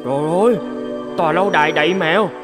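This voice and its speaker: A man's voice: on the high side, fast, music in the background, lightly acted. A man voicing a naive, good-natured young peasant in a cartoon fairy tale, eager and wide-eyed. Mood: amazed.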